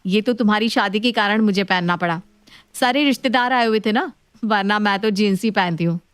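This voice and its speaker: A woman's voice: medium pitch, brisk, smooth, dry recording, close to an everyday voice. A woman playing a breezy, confident modern sister-in-law in a dramatized story. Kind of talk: story dialogue.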